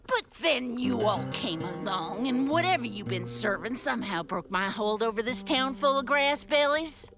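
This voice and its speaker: A woman's voice: on the high side, lively intonation, moderate pace, hardly any pauses. A woman playing a scheming, gloating djinn baker in an audio adventure, with a taunting, theatrical menace. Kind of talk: villain monologue in audio adventure. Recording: music under the voice.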